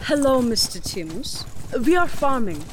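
An actress playing a defiant, composed young farm woman in a fantasy audio drama, speaking in a measured, formally polite and pointed way. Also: a little high, sing-song, noisy background. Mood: composed defiance.

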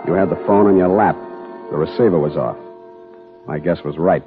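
A man's voice: low voice, normal speed. A man acting a cool, wry private detective in a 1940s radio drama, clipped, matter-of-fact and understated. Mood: wry, grim. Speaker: lead actor and narrator of a detective radio drama. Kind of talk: 1940s radio acting, hard-boiled narration. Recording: muffled narrow-band old radio recording, music.

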